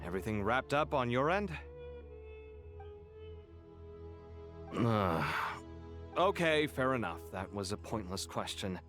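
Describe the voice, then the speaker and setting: An actor playing a young male scholar in a game cutscene, expressive and quick to protest. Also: on the low side, over music. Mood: weary, friendly. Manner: animated, exasperated, quick to protest.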